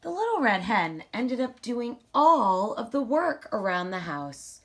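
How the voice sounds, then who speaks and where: A woman reading a children's storybook aloud in a deliberate, emphatic, teacherly storytelling style. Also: fairly high pitch, very animated.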